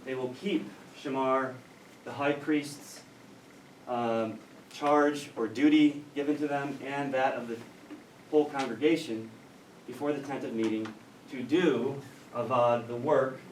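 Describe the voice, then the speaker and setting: A man with an American accent gives a scholarly lecture in a measured, explanatory way, with a dry edge. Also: mid-range voice, steady tone. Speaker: academic lecturer in biblical studies. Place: lecture room. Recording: roomy, distant mic with faint noise.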